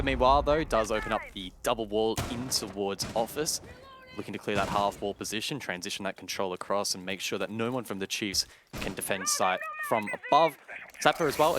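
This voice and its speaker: Low-voiced male esports caster. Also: noisy background. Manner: detailed, explanatory play-by-play. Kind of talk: live esports commentary. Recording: game music and gunfire behind.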